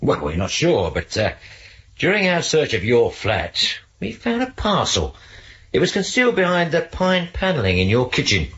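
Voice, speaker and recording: An actor playing a methodical English police inspector who questions hesitantly and deliberately in a 1970s radio drama. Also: male voice, on the high side, wildly swooping pitch, fairly bright tone, full character voice. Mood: probing, measured. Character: male police detective inspector, probing and methodical. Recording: dull, noisy old radio recording.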